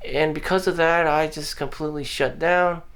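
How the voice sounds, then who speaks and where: Rambling man with a higher voice. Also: steady tone, normal speed, creaky, a bit bright. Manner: meandering, frequent "you know" fillers and restarts; blunt, swears casually. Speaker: vlogger. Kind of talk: rambling personal monologue. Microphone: audio-only recording.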